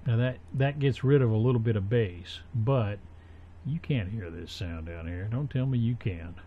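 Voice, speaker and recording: A man presenting a casual, unscripted software tutorial, haltingly thinking aloud as he works. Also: lowish voice, slightly rough. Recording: dull, muffled home recording with faint noise.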